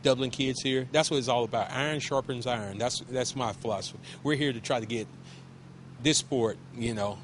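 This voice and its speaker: A man, a wrestling coach, talking casually in an interview with a loud voice, emphatic and repetitive, with many restarts. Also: mid-range voice, fairly quick, slight background noise.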